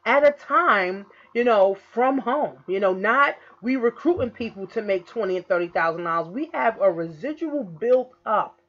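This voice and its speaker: A woman in her early thirties, an online business coach, giving a casual recruiting pitch to camera, repeatedly checking in with "okay?" and "guys". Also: highs cut off. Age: 30s. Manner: repetitive, keeps saying "okay?" and "guys". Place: indoor room. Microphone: laptop webcam in screen recording.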